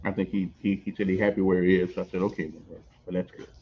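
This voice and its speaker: An older man hosting a casual on-location interview, telling stories in an animated way, repeating words and laughing as he goes. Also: low voice, fast talker, a little hiss or hum. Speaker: host. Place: on location at a veterans center. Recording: dull lo-fi recording.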